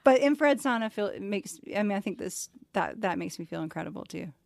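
A woman hosting a podcast in casual, chatty conversation, animated and quick to laugh. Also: mid-range voice, vocal fry, no room sound, studio quality. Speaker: podcast host.

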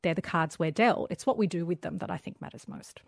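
Woman, Australian accent, lowish voice, animated, candid, dry close sound. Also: a little fast, vocal fry, dry recording. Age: young adult. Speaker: author and guest. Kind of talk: conversational interview.

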